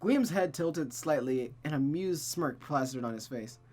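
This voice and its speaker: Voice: medium pitch, lively intonation, moderate pace, darkish tone, soft background music. A playful, jokey streamer who reads a visual novel aloud, moving between casual chat and dramatic story narration.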